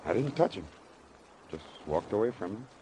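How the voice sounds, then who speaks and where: An actor in an old-time radio drama playing a weary, earnest former boxer who speaks plainly in short, halting sentences. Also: male voice, lowish voice, big pitch swings. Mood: defensive. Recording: noisy vintage radio broadcast recording.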